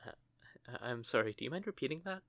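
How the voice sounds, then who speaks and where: A man's voice: mid-range voice, lively intonation, fast, gravelly, fairly bright tone, dry recording. Male actor as a nervous stuttering teen-ish witch; everyday mid voice, dead-dry close recording. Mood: giddy. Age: young adult. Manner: stammering, flustered. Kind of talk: audio roleplay acting.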